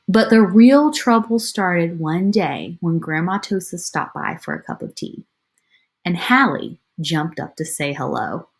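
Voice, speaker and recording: A woman's voice: fairly low pitch, vocal fry, darkish tone. A woman teacher reading a picture book aloud to children, expressively, with chatty asides.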